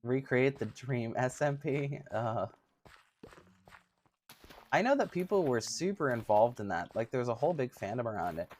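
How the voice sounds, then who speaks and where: A man in his thirties with a somewhat high-pitched voice, chatting casually with livestream viewers in a giggly, chatty, self-mocking way. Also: animated, moderate pace, darkish tone, a little hiss or hum. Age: 30s. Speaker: streamer.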